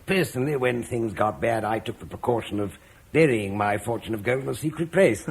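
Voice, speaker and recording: A man's voice: medium pitch, lively intonation, fairly quick, husky. A man playing a cautious, wealthy Roman merchant in a radio drama, hesitant and stammering. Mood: uneasy hedging.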